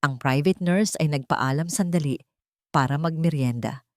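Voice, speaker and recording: A woman's voice: deep voice, moderate pace, dry recording, clean studio sound. A woman narrating a scripted dramatic story as an audio drama.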